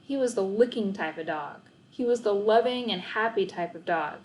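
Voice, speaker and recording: A woman narrating a children's book as an expressive read-aloud storyteller. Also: medium pitch, moderate pace, rough recording.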